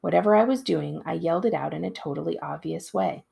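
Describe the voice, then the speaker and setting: A woman, a school librarian, giving an expressive read-aloud of a storybook in a narrator's style. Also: sits low, fast, creaky, husky.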